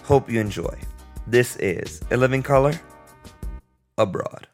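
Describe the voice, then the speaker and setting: A man's voice: mid-range voice, measured pace, over music. A man speaking as a podcast host in a casual, conversational interview style. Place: remote long-distance call from Costa Rica.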